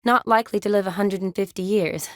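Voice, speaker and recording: An actress voices a young woman who works as a medic in a fantasy game. Her voice sits at a middle pitch and she speaks in a composed, articulate way with dry, teasing wit. The sound is dry, with no room around it. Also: quick pace, no room sound, close to an everyday voice. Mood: firm, earnest. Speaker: video game character, army surgeon. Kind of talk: video game dialogue acting.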